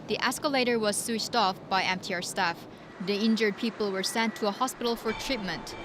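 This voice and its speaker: A woman's voice: mid-range voice, brisk. A woman narrating a scripted news report as a reporter. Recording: noisy urban traffic ambience behind.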